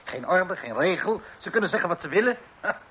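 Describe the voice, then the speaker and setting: A man's voice: sits high, very animated, a little fast, fairly bright tone, slight background noise, rough recording. An actor playing an opinionated, self-righteous, pompous man in a Dutch radio play. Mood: disapproving. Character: opinionated, self-righteous man who believes in strict child-rearing.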